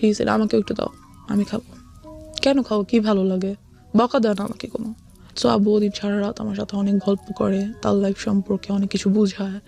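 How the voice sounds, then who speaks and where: Young bangladeshi woman sharing her life story, mid pitch, mixes in english, music playing behind. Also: level tone, a little fast, gravelly, dark tone. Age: young adult. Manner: code-switches often between Bengali and English. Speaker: guest telling their life story. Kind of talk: confessional personal storytelling, mixing in English. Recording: music under the voice. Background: background music.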